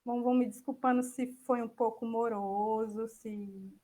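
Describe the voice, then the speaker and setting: A woman with a fairly high voice and a Brazilian accent, speaking informally as the presenter of an online talk. She sounds unassuming and slightly hesitant as she answers in a casual, conversational way. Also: steady tone, unhurried, warm tone.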